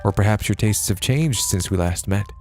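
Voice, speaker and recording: A man's voice: fairly low pitch, normal speed, soft background music, lightly acted. A man voicing a pale, gloomy young female maid who speaks formally and is devoted to her master. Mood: eager. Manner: formal, elated yet lifeless.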